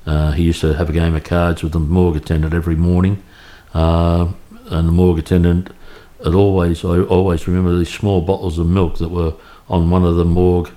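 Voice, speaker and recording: An Australian man in his fifties, recalling his childhood in a relaxed, halting way with many restarts for an oral history interview. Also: deep voice. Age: 50s. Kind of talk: reminiscing in an interview. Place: home in Cremorne, Sydney.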